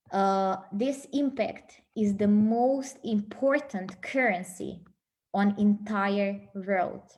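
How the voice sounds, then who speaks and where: A woman giving a motivational speech, earnest, with pauses between phrases. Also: mid-range voice, darkish tone. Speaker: motivational speaker.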